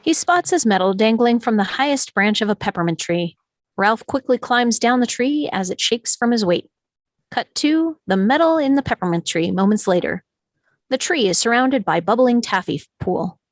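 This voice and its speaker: A woman reading scripted stage directions as narrator at an animated film table read. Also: on the high side, moderate pace, no echo.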